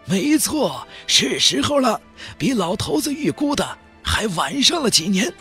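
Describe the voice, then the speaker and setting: A man voicing a boastful, crotchety old Taoist cultivator who teases and brags in an unhurried, raspy way. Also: sits high, very animated, fairly bright tone, faint music behind, voice pushed up, exaggerated voice. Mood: smug. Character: cocky old male Taoist cultivator master, teasing and boastful.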